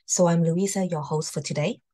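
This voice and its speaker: A woman's voice: fairly low pitch, steady tone, brisk, warm tone, soft background music. A woman hosting and presenting in a formal slide talk over a video call. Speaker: host, university admissions presenter.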